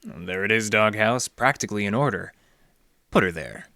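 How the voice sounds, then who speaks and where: An actor playing a wry, deadpan library detective who narrates his case in hardboiled old radio style. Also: fairly low pitch, moderate pace, vocal fry, dry recording, clean studio sound, exaggerated voice. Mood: pressing, accusing. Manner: deadpan, sardonic, slangy.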